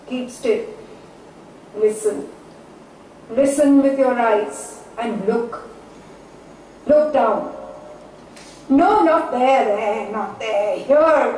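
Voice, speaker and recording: A woman's voice: a little high, a little mellow. An actress playing a whimsical female poet, delivering a declamatory, dramatic stage monologue. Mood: urgent, commanding. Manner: declamatory, dramatic, with emphatic repeats and drawn-out phrases. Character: theatrical, whimsical female poet musing aloud on art. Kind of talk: theatrical stage monologue, poetic recitation. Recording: distant, very echoey hall, faint noise.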